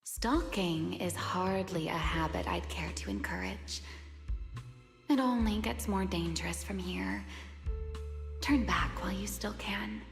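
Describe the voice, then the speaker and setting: A woman playing an enigmatic, composed young game character who speaks in a measured, wistful, formal way. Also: lowish voice, natural voice. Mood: quiet warning. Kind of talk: video game voice acting. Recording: long echoing reverb over music.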